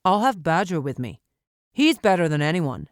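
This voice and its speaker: A woman narrator voicing a resolute, calm mountain man in an audiobook, steady and reassuring. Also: fairly low pitch, moderate pace, dry recording, studio quality. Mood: determined. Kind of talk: audiobook character acting.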